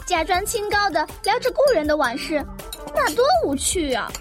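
A woman's voice: extremely high pitch, very animated, normal speed. A woman playing a brash, flippant young niece in an audio drama. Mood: cheeky, provocative. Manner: flippant, provocative. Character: cheeky, brash young niece in rich family. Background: music and clinking glasses.

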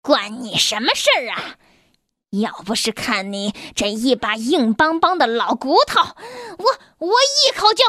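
Give me a grumpy male wolf for a children's tale, rough and threatening, as a woman plays him. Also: high-pitched, very animated, very slow, pauses often, dry recording, clean studio sound, heavily acted. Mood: menacing. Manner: growling then stammering. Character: gruff male wolf, impatient and menacing.

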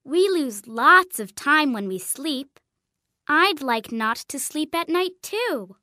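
A cheerful young storybook girl, high and bright. She sounds guileless and eager, with lively swoops and an unhurried, earnest delivery. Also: dry recording, studio quality, exaggerated voice. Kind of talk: storybook character dialogue.

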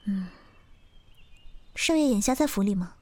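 A woman playing a young lady of the house in a Chinese costume audio drama, polite, measured and formal. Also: mid-range voice, animated, dark tone, dry recording, natural voice. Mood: calm. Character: composed, gracious young gentlewoman, mistress of the house.

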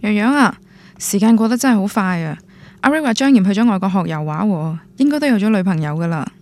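An actress playing a frank young confidante in a radio drama, speaking with a Guangdong Cantonese accent. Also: female voice, mid-range voice, animated, fast talker, a little mellow, close to an everyday voice. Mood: gently frank. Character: frank young woman, the heroine's confiding friend. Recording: music bed under voice.

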